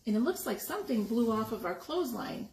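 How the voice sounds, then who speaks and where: A woman reading a picture book aloud to young children, expressively, pausing to explain the pictures and ask questions. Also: a little high, big pitch swings, gravelly, darkish tone. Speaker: story time reader. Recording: echoey room with background noise.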